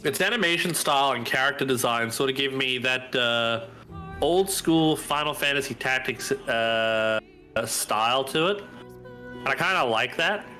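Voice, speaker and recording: A man's voice: mid-range voice, measured pace, pushed and bright, faint music behind. A man with a stuffy nose from hay fever, chatting casually on a live stream and thinking aloud as he browses. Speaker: stream co-host.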